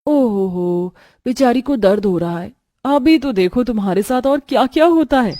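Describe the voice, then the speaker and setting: A woman's voice: fairly high pitch, lively intonation, moderate pace, dark tone, no echo, clean studio sound, voice pushed up, lightly acted. A woman voicing a spiteful young sister-in-law in a story drama, taunting with mock sympathy. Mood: gloating.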